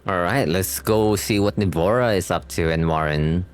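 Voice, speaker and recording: A man's voice: sits low, moderate pace, soft background music. A man doing casual, slangy gameplay reaction commentary as a streamer. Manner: slangy, informal.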